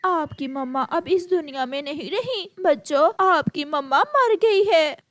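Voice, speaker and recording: Mother crow, cartoon woman performer: high voice, gentle, solemn, consoling, unhurried. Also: animated. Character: motherly adult female crow, gentle consoling neighbour aunt.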